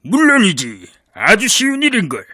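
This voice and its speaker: A man's voice: high-pitched, wildly swooping pitch, normal speed. A man doing a bragging cartoon giant. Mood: boastful. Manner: arrogant and bragging. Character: boastful huge male shape-shifting giant.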